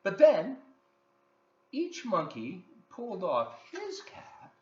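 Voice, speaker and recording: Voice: medium pitch, wildly swooping pitch, slow. A children's story reader reading a picture book aloud expressively, with dramatic emphasis and asides to young listeners. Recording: roomy, dull lo-fi, faint noise.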